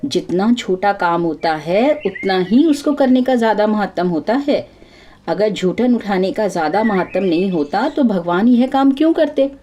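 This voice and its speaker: Woman as a pious, headstrong young daughter-in-law, mellow. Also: mid-range voice, lively intonation, moderate pace, lightly acted. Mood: earnest, instructive. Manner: earnest, instructive, gently bossy.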